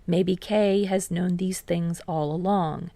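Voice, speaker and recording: A woman's voice: on the low side, fairly even intonation, slow pace, dark tone, no echo. A woman reading scripted fiction aloud as a story narrator.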